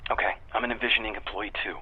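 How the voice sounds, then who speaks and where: An actor playing a jaded, angry tech employee phoning a radio drama's show with anonymous tips, speaking low and conspiratorially through a telephone filter. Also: male voice, fairly even intonation, racing. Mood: conspiratorial, disgruntled. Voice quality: husky, breathy. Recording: thin phone line.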